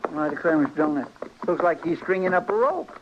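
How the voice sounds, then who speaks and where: An actor playing a talkative, simple-minded frontier deputy in a radio western, with a folksy rural American drawl. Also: male voice, fairly high pitch, animated, quick pace. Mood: puzzled, watchful. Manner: folksy, earnest, chattering. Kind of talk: 1950s radio western acting. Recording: dull, noisy old radio recording.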